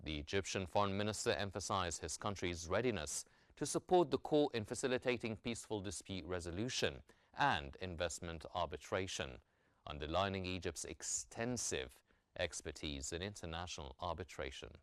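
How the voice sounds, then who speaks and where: Male news anchor, low and resonant, formal scripted bulletin delivery. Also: animated, dry recording, clean studio sound. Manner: formal, measured newsreader delivery.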